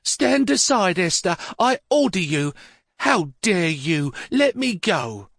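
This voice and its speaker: A male narrator puts on a little old mother in a storybook, trembling with petulant rage. Her voice is pitched far above his own and swoops with feeling. The reading is close and dry. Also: very animated, slow pace, gravelly, a bit bright, no room sound, exaggerated voice. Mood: furious. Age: older. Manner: shrill, quavering, childish pleading.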